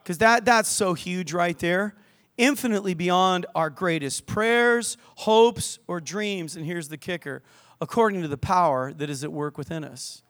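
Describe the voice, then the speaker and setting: Older guy preaching in church, kinda high voice, cracks jokes and talks to the crowd. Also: unhurried. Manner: lively, joking preacher with asides to the crowd. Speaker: pastor. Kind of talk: sermon. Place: church hall with balcony.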